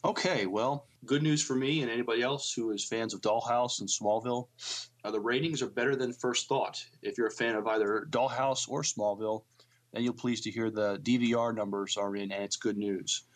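A man co-hosting a podcast, chatting and reading news casually, with a halting delivery that trips on word starts. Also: mid-range voice, steady tone. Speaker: podcast co-host.

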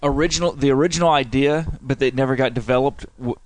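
Male co-host, even pitch, factual and plain. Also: fast talker, dry recording. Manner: factual, matter-of-fact. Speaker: podcast co-host. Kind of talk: casual chat.

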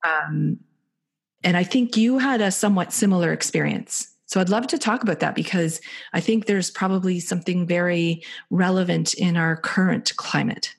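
Deep gravelly husky woman. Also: normal speed. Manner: reflective, searching for words with many fillers. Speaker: podcast co-host. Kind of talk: casual podcast conversation.

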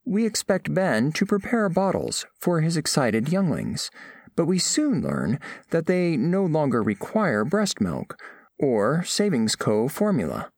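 A man's voice: fairly high pitch, wildly swooping pitch, measured pace, darkish tone, dry recording, clean studio sound, full character voice. A man playing a formal, curious alien scholar in an audio drama, narrating observations of humans with precise, clinical phrasing. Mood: observant, formal.

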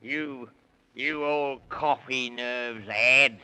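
A man's voice: medium pitch, steady tone, extremely slow, sharp tone, clean tone, exaggerated voice. A man playing a pompous, indignant walrus who scolds and blusters in rhyme in a 1930s children's radio serial. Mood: indignant, scolding. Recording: old 1930s radio recording.

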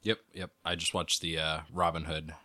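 A man's voice: sits low, level tone, normal speed, sharp tone. A man co-hosting a casual chat, speaking in short, agreeing, laconic replies. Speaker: podcast co-host.

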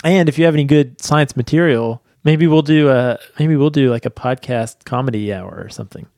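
A man's voice: medium pitch, a little fast, darkish tone, dry recording, clean studio sound. A man co-hosting a casual science podcast, talking in an easygoing way and chuckling often. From grew up fishing rivers. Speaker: podcast co-host. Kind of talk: casual chat.

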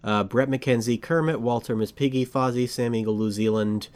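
A male podcast host talking casually in a mid-range voice. He rambles, corrects himself and gets excited. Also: medium pitch, moderate pace.

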